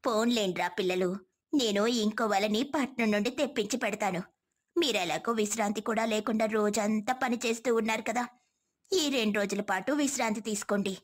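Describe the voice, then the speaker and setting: A woman's voice: mid-range voice, moderate pace, close to an everyday voice. A woman voicing a kind, reassuring motherly village woman in a moral story. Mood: reassuring, advising.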